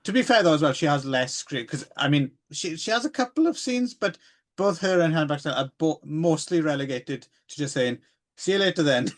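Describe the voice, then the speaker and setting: A man's voice: a little high, animated, a little fast. Rambling man in a film podcast chat. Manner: rambling, hesitant, full of asides. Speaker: podcast co-host. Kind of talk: casual chat about films.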